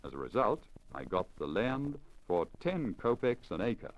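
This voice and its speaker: A man's voice: on the low side, sing-song, unhurried. A man voicing a boastful, persuasive travelling merchant in a storybook, speaking formally and emphatically on a crackly old disc recording. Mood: confident, matter-of-fact. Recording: crackly, dull 1950 lacquer disc recording.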